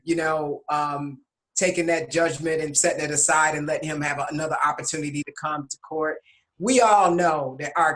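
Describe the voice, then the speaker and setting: A man hosting a casual podcast who reports news items, pausing on fillers between phrases. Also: fairly high pitch, sharp tone, poor audio. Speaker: podcast host.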